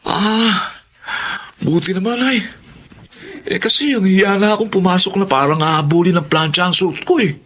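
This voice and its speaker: Very high, whiny schoolboy son. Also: male voice, moderate pace, fairly bright tone. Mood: casual. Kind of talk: Tagalog radio drama acting.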